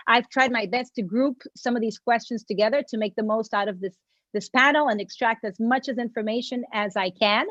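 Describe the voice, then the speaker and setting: A woman with a somewhat high voice opens a virtual panel, effusive and gracious, thanking everyone as she goes. She speaks from a video call, and the sound is dry and a little dull. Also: dry recording. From Mexico. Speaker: panel moderator and agency founder. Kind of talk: opening remarks for a virtual panel.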